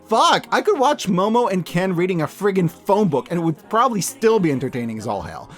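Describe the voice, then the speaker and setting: A man's voice: sits high, sing-song, brisk, soft background music. A man giving scripted review commentary as a host, with an emphatic, playful, colloquial delivery. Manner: emphatic, playful, colloquial, with dramatic repeats for effect. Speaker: host of a yearly media review show.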